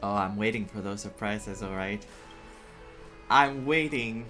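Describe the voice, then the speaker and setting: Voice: medium pitch, unhurried. A game streamer giving live reaction commentary, thinking aloud and getting emotionally invested in the story. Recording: game music behind the voice. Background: video game music.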